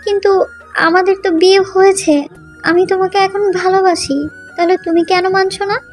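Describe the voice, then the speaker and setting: A woman's voice: very high voice, level tone, normal speed, soft background music. A woman voicing a distressed, earnest young woman in a dramatised romantic story. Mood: hurt, pleading.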